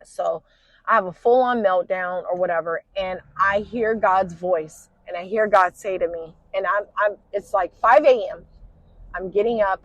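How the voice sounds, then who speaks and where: A woman's voice comes out husky and breathy, the rough edge of a late night with no sleep. She sits at a middle pitch and tells a personal story the way you would to a friend, wandering off track and dropping "you know" every few breaths. She is talking from the driver's seat of a car on a long night drive. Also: no room sound, highs cut off. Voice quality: husky, low smoky voice. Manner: rambling, with many "you know" fillers. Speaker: podcast host. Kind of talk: casual storytelling. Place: inside a car while driving.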